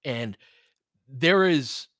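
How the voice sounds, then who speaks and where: Middle aged guy doing chatty live hoops commentary, bright punchy voice, dry close sound, opinionated. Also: medium pitch, animated, very slow, no echo. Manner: conversational, opinionated, reacts aloud to the action. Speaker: online basketball play-by-play host. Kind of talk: casual live play-by-play commentary mixed with chat.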